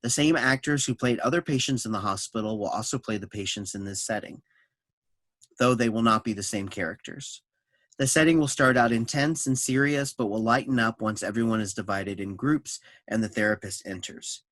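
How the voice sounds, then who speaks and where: A man's voice: on the low side, moderate pace, fairly bright tone, no room sound. A man reading scripted stage directions and scene summaries for a staged play reading.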